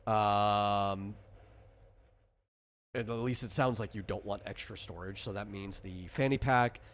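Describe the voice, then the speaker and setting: A man's voice: on the low side, measured pace. A man acting as game master, talking casually and explaining options in a hesitant way during a tabletop character-creation session.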